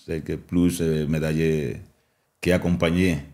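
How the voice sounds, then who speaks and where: A middle-aged man with a heavy Cuban Spanish accent and a very low voice talks as a boxing coach in an interview. His tone is mellow and dark. He speaks haltingly, with restarts and repeated words. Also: little pitch movement. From Cuba. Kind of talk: interview, mixing French and Spanish.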